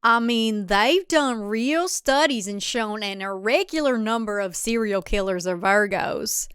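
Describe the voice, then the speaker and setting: A woman narrator plays a socially awkward man in a darkly comic thriller. He drones with creepy enthusiasm, oblivious to the listener, in a clear, close voice. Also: mid-range voice, measured pace, a bit bright, no echo, natural voice. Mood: droning. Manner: droning, giggly, socially awkward. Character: oblivious, creepily eager man obsessed with astrology and killers.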